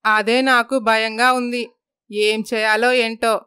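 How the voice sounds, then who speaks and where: A woman's voice: on the high side, flat delivery, slow, bright, forceful tone, clean tone, no echo, studio quality. A woman voicing a worried, stern older mother-in-law in a moral story.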